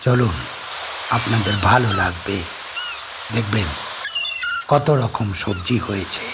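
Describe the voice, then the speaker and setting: A man doing a soft-spoken, secretive island farmer. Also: heavy background noise. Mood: coaxing. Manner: low, soft-spoken, slow and measured. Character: lonely middle-aged male island farmer, quiet and secretive.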